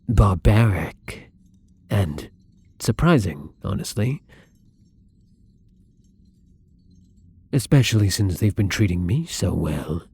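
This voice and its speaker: Breathy, hesitant young vampire played by a man. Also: lowish voice, no echo, exaggerated voice. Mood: earnest, wondering. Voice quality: breathy, often slipping into whispers. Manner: hesitant, halting, polite, soft-spoken. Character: shy, gentle, newly turned male vampire, apologetic and hungry. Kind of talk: intimate ASMR roleplay acting.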